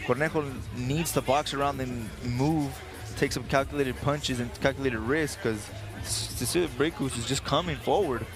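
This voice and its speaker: Measured male boxing analyst. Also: medium pitch, music in the background. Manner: measured, analytical, repeats key phrases. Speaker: color commentator, former boxer.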